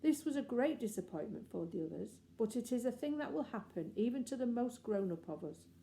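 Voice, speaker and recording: A woman's voice: on the high side, expressive, brisk, gravelly, dark tone, a little hiss or hum. A woman reading a children's storybook aloud as scripted narration. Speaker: audiobook reader.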